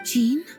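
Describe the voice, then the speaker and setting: A woman playing a dutiful knight leader in a fantasy video game, measured, polite and firm. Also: fairly high pitch, exaggerated voice. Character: composed, dutiful female knight leader, courteous but firm.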